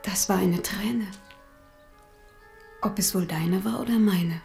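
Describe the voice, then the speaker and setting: An actress playing a tender young village sweetheart in a radio play, plaintive and intimate, often slipping into whispers. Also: female voice, medium pitch, slow pace, gravelly, dark tone, soft background music. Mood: sad, hushed. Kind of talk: German radio play acting.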